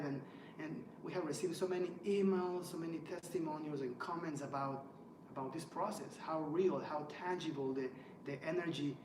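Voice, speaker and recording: A man born in Ecuador hosting a welcome talk, speaking with excited emphasis and plenty of fillers as he lists things one by one. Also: on the high side. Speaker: host. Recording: echoey room, mic at a distance, noisy.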